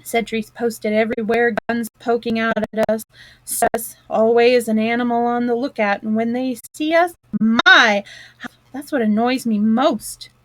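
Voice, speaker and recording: A woman voicing an eager, excitable male mole in a storybook, full of exclamations. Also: fairly high pitch, measured pace, dry recording, close to an everyday voice, poor audio. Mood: grumpy. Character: hungry eager male mole, grumpy at times.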